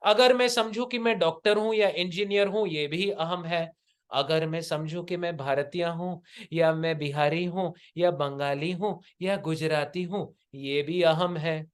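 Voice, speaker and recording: A man's voice: a little high, steady tone, a little slow, clear, resonant tone. A man with an Indian accent giving an interactive spiritual lecture in a didactic, questioning manner, as a coach teaching a group. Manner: didactic, rhetorical questions, switches between Hindi and English.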